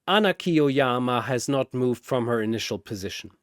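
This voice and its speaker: Male narrator for battle fiction: theatrical delivery, very animated, close dry sound. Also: medium pitch, normal speed, smooth, no room sound. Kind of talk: dramatic scripted fan-fiction battle narration.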